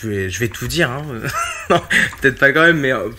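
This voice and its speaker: A man's voice: medium pitch, wildly swooping pitch, moderate pace, pushed and bright, a little hiss or hum. A man of about thirty from western France, chatting casually as a podcast guest, often repeating words as he searches for phrasing. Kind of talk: casual chat.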